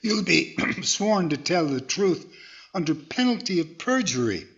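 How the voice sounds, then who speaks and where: An actor playing a stern, formal courtroom judge, exasperated and admonishing, in a 1940s radio comedy. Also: male voice, high-pitched, sing-song, unhurried, fairly bright tone, exaggerated voice. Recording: dull audio with faint noise, clicks.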